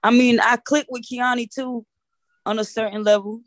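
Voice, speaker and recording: A woman's voice: on the high side, little pitch movement, moderate pace, slight background noise. Emphatic slangy woman in her thirties. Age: 30s. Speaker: family member. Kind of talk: casual family chat. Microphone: video call.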